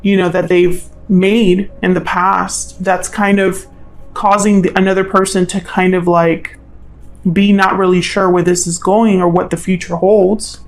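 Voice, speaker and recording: A woman's voice: lowish voice, little pitch movement, measured pace, faint music behind. A woman giving an informal tarot reading as host, musing and thinking aloud in a tentative way. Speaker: tarot reader, channel host.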